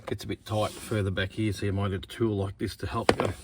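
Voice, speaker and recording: A man's voice: on the low side, flat delivery, fast talker, heavy background noise. A man presenting a hands-on DIY how-to in a plain, matter-of-fact, step-by-step way.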